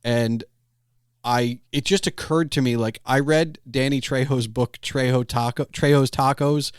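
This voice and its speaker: A man chatting casually as a podcast host, telling anecdotes in an animated way and acting out imagined quotes. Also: mid-range voice, a little slow, clean tone, no echo, studio quality.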